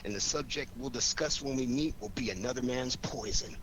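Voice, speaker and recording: A man's voice: mid-range voice, a little fast, natural voice. A man playing a cool, shrewd amateur sleuth in a radio drama, wry, probing and self-assured. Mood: determined. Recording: clearly noisy recording.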